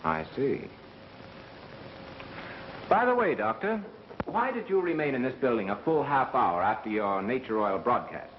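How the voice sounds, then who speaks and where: An actor with an American accent playing a calm, methodical engineer turned sleuth in a 1930s film, measured and wry. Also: male voice, medium pitch. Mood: probing, accusing. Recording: muffled, hissy 1930s film soundtrack.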